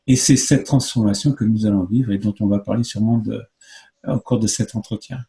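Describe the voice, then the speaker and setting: A man in his fifties from France, a guest in an interview, who explains earnestly with many pauses and fillers. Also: on the low side, steady tone, gravelly, mellow. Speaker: guest, movement spokesman. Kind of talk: conversational interview answers.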